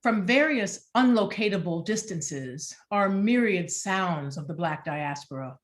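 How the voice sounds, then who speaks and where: A woman narrates a play's stage directions in a scripted, dramatic style. Her voice is moderately low, and her delivery is deliberate and expressive. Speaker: playwright reading stage directions.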